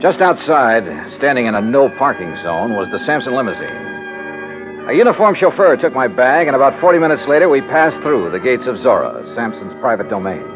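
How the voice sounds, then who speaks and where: Wry radio detective, lively intonation. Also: male voice, medium pitch, moderate pace, over music. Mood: brisk narration. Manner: wry, crisp, probing. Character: wry, no-nonsense male freelance insurance investigator. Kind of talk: mid-century American radio detective acting and narration. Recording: dull old radio recording.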